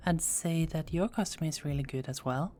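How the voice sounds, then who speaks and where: A woman playing a low, whispering teasing vampire. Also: normal speed, natural voice. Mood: friendly. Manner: soft-spoken, often whispering, playful teasing. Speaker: performer of own script. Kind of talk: ASMR roleplay.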